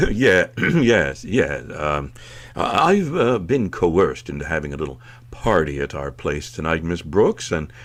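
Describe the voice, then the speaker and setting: Husky, blustering, low-voiced school principal. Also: male voice, expressive, takes it slowly, heavily acted. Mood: nervous, forced politeness. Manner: blustering, stammering, put-upon. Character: flustered, grumbling middle-aged school principal and reluctant father. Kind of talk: old-time radio comedy acting.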